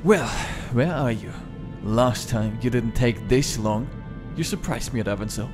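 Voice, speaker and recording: A man acts a confident, menacing starship commander from a sci-fi audio drama. His delivery is taunting and deliberate, mid-pitched, with music clearly playing behind. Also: close to an everyday voice. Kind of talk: science fiction audio drama acting.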